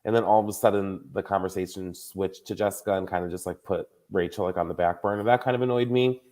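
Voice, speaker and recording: A man in his late thirties with a fairly low voice, hosting a casual podcast. He chats loosely and quickly strings thoughts together with lots of filler words. His tone stays relaxed and conversational. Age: 30s. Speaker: podcast host. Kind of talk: casual chat.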